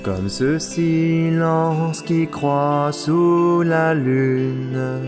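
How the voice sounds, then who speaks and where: A man voicing a gentle, loving demon owl father in a theatrical cartoon fan dub, with music behind him. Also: medium pitch. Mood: sung, melancholy. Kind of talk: animated series fan dub.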